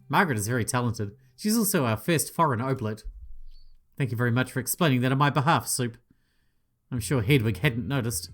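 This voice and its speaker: Playful man reading a visual novel aloud. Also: medium pitch. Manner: playful, swearing asides, voices each character. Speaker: gaming channel host.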